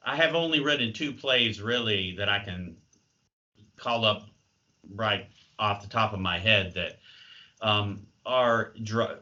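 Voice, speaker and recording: An American man giving unscripted interview answers as a guest, halting as he searches for words, over a muffled remote call. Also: on the low side, sharp tone. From United States.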